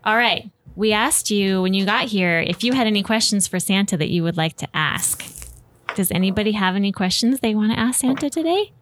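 A woman with a medium-pitched voice hosts in a casual, conversational way. She encourages and prompts children with simple questions. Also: expressive, fairly quick, a bit bright, slight background noise. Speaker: host. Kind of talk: casual interview with Santa and children.

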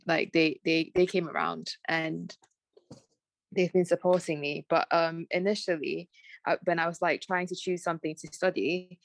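A woman's voice: on the low side, gravelly, no echo. A young woman from Malaysia with a mixed Malaysian, British and American accent, chatting as an interview guest and rambling with many fillers and restarts. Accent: Malaysian with British and American traces. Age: young adult. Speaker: guest, fashion graduate. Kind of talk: casual podcast interview.

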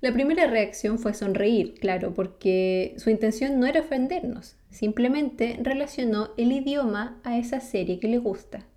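Woman, Chilean accent, friendly explainer, asks the listener questions, laughs often, raised pitch. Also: moderate pace, few pauses, warm tone. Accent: Chilean Spanish. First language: Spanish. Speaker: vlogger. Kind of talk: explanatory talk to camera.

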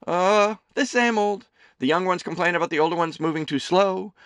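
A man voicing a doting, gossipy, middle-aged mother who runs a diner, loud and theatrical. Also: moderate pace, clear, resonant tone, no echo, studio quality. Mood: teasing. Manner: loud, theatrical, high chirpy voice.

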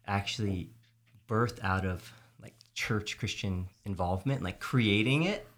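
A man's voice: sits low, fairly bright tone. A man from South Texas, a podcast host, talking reflectively in a casual conversation and searching for his words.

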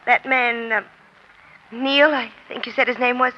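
A woman's voice: on the high side, fairly even intonation, measured pace, bright, forceful tone. A woman playing a tense, guarded, jealous witness held in jail, in strained 1940s radio melodrama style. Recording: dull, lo-fi old radio recording.

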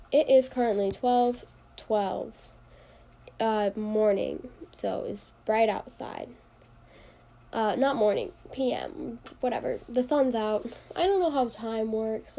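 A young woman with a somewhat high, mellow voice chats alone on her podcast, stuffy-nosed from allergies. She rambles loosely, fills gaps with ums and likes, and jokes at her own expense. Faint ambience hangs behind her. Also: a little hiss or hum, poor audio. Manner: rambling, self-deprecating, full of fillers. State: congested from allergies. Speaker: podcast host. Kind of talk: casual solo podcast chat.

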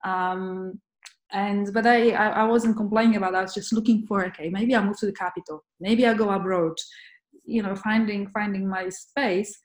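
A woman's voice: medium pitch, fairly even intonation. A woman from Poland talks earnestly as an interview guest, often restarting her sentences as she thinks aloud. Her voice sits in the middle of the range, and a roomy echo hangs around it. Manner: earnest, halting with many restarts. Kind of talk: conversational interview.

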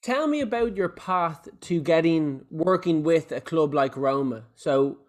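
A man's voice: on the high side, expressive, a little slow. A man hosting a podcast, asking open follow-up questions in an encouraging way. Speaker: podcast host. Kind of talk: interview questions.

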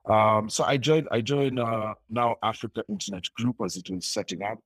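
A young man speaks as a guest in a casual interview, telling his story in a loose, rambling way. His voice sits low and has a touch of huskiness. He often restarts his sentences and laughs between thoughts, and the recording is dry and close. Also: fairly even intonation, moderate pace, no room sound. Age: young adult.

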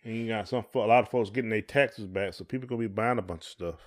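A man's voice: on the low side, fast talker. A man co-hosting a podcast, talking casually in folksy, colloquial phrasing. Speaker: podcast co-host. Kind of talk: casual conversation.

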